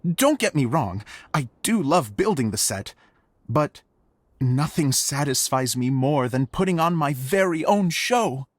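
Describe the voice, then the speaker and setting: Animated, theatrical stage director. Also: male voice, a little high, unhurried, no room sound, studio quality. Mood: chatty, earnest. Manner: effusive, chatty, theatrical. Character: eager, theatrical, self-styled stage director man. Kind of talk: video game cutscene acting.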